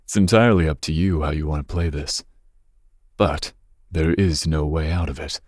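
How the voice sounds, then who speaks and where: A man playing a dangerous, possessive young mafia boss's son in an intimate ASMR roleplay, speaking breathily with smooth, teasing menace. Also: steady tone, normal speed, a little mellow, no room sound, studio quality. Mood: threatening. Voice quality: breathy, husky low voice. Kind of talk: ASMR roleplay, intimate second-person monologue.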